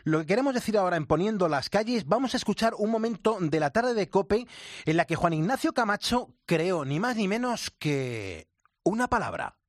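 A man hosting a morning radio show, brisk and jokey with his co-host. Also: high voice, sing-song, dry recording, studio quality. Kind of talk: lively radio chat. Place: radio studio.

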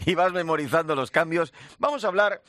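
A man's voice: fairly high pitch, fast, fairly bright tone, no echo, clean studio sound. A man co-hosting a radio show, reading a playful language segment with wry humor. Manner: wry, playful, reads jokes with relish. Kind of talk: scripted comic radio segment. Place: radio studio.

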